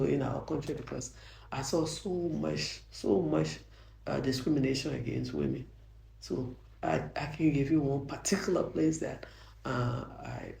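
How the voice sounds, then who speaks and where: An older woman with a Liberian accent and a soft voice, a judge answering interview questions earnestly, often restarting her sentences. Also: low-pitched, creaky. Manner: earnest, frequent restarts, grows emphatic. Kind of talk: interview answers, reflective storytelling. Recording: roomy echo.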